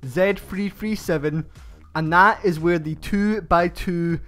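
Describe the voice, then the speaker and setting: Young male tutorial host, pitched high and congested, walks through steps slowly over soft background music. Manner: slow, methodical step-by-step instructions. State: stuffed-up nasal voice from hay fever. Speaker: channel host. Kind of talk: game build tutorial voice-over.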